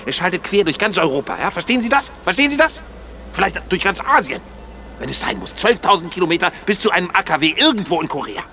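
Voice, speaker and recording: A man's voice: high voice, sing-song, fast talker, pushed and bright, a little hiss or hum, full character voice. An actor playing an agitated male insider in a science-fiction radio drama, speaking formally, theatrically and insistently. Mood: agitated, urgent. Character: agitated male insider warning of a murderous system.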